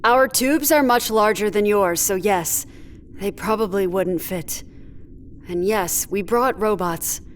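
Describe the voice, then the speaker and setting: A woman playing a brisk, blunt crew leader in a sci-fi horror audio drama. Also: medium pitch, slight background noise, lightly acted. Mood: businesslike.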